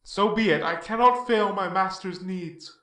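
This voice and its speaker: A hearty male hero of Greek myth acted out by a man declaims verse with confidence. The tone is forceful and bright, heard from a distance in an echoing room. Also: high-pitched, unhurried. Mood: bold, confident. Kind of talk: classical verse drama reading. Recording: echoey room, off the microphone.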